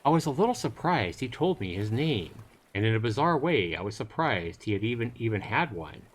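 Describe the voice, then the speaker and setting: A man's voice: mid-range voice, very animated, fairly quick. A man streaming a visual novel, reading it aloud with joking, casual asides. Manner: jokey, bantering asides between read passages. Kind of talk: live game read-aloud with casual banter.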